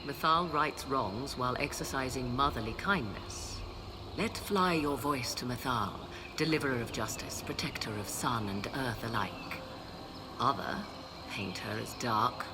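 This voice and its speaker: An actress playing a poised, learned and haughty sorceress in a video game, speaking in a measured, formal, theatrical way. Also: female voice, rumbling low, noisy background. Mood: solemn. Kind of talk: video game dialogue acting. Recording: game music behind the voice.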